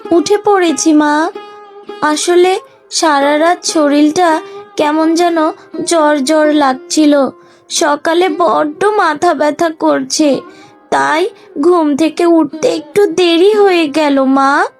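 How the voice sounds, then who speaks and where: Woman as a meek, high-voiced little girl. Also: slow, faint music behind. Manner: pleading, meek, plaintive. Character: innocent, obedient, mistreated little village girl. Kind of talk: Bengali cartoon voice acting.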